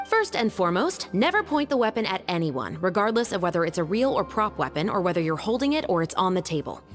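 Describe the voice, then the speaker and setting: A woman voicing a disciplined, serious officer and weapons expert in an anime-style video game, firm, measured and instructive. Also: mid-range voice, very animated, soft background music, lightly acted. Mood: instructing.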